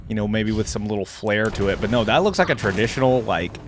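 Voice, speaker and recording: A man's voice: fairly low pitch, quick pace, slight background noise. A man giving casual, off-the-cuff reaction commentary, thinking aloud in a chatty way with informal slang. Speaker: host of a reaction video.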